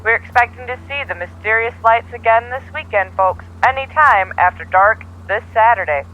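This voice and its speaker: An actor playing a chipper radio news announcer reading a bulletin through a radio filter in an audio drama. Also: male voice, very high voice, normal speed, phone-quality sound. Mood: brisk, upbeat. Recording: thin band-limited radio sound.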